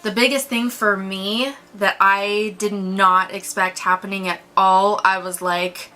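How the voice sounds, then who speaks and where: Bright-voiced woman chatting about films. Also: mid-range voice, level tone, a little slow, faint music behind. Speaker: movie review co-host. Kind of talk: casual film discussion.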